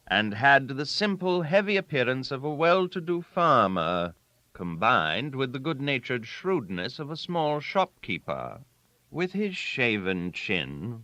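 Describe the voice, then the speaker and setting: A man narrating a literary story aloud in a theatrical, deliberate way, with dramatic pauses. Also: medium pitch, pushed and bright, no echo. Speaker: audiobook reader.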